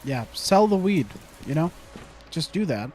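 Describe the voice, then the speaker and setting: A man's voice: fairly high pitch, big pitch swings, measured pace, a little mellow, slight background noise. A young man giving casual gameplay commentary, muttering to himself, humming and repeating phrases. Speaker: gaming streamer.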